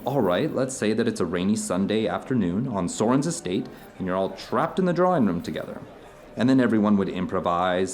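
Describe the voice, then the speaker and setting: A man's voice: deep voice, darkish tone. A man playing an earnest theater director telling stories to an old friend in a conversational audio drama. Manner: storytelling, earnest, discursive. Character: animated theater director recounting his travels to an old friend.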